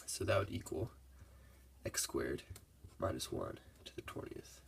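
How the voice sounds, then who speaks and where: A man's voice: sits low, big pitch swings, measured pace, vocal fry, slight background noise. A congested young man giving a casual, laid-back math lesson over his worked problems. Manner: laid-back, loose and casual, with many fillers.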